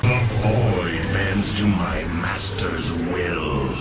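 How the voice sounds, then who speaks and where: A man playing an ancient, godlike alien spirit in a video game cutscene, speaking in a grave, portentous and deliberate manner. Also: deep voice. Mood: menacing. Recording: echoing, distant, under loud game music.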